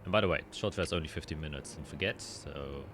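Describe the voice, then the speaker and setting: A man narrating a tabletop roleplaying game as game master, descriptive and halting as he thinks aloud. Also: a bass voice, noisy background.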